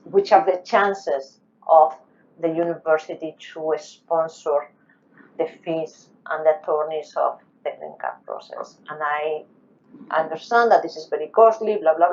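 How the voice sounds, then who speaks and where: A woman with a deep voice speaks as a guest advising younger researchers. Her delivery is emphatic and halting, with non-native phrasing. The audio is a bit dull and lo-fi, like an online call. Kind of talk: conversational advice in a panel interview.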